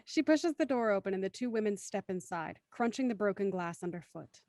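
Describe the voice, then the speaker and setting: A woman's voice: medium pitch, expressive, brisk, a little mellow, no echo, studio quality. A woman reading scripted scene narration for an audio drama.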